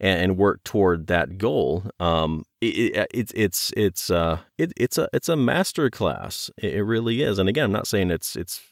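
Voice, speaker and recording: A man's voice: deep voice, animated, normal speed, creaky, hardly any pauses, no room sound, studio quality. A man talking conversationally as an interview guest, thinking aloud and circling back to rephrase his points. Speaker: guest, audio drama producer.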